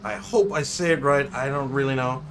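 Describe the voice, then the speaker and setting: A man's voice: medium pitch, lively intonation, normal speed, noisy background. A man with a Dutch accent giving chatty live commentary on a sim racing qualifying session, often correcting himself and unsure how to say drivers' names. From Netherlands. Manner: chatty, self-correcting, unsure of name pronunciations. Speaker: race commentator.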